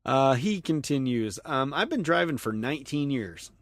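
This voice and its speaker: A man's voice: mid-range voice, expressive, moderate pace, a bit bright, no room sound, clean studio sound. A middle-aged man hosting a podcast, casually reading listener emails aloud and chatting about them, laughing often. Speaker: podcast host.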